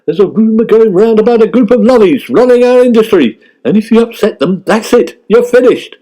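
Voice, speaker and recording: A man's voice: sits high, animated, fairly quick, warm tone, rough recording. A man playing a pompous, thin-skinned ageing British comic actor, indignant and aggrieved, in radio sketch comedy. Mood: conspiratorial.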